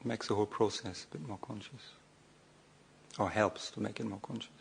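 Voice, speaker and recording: A man giving a guided meditation as a monk, speaking off the cuff in short, measured phrases with long, hesitant pauses. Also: on the low side, fairly even intonation, creaky, slight background noise.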